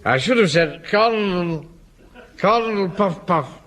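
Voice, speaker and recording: An actor playing a pompous, flustered middle-aged Home Guard captain with an English accent in a British sitcom. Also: male voice, high-pitched, lively intonation, unhurried, a bit bright. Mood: tipsy, confused. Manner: pompous, blustering. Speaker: actor in a comedy. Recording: noisy old comedy broadcast.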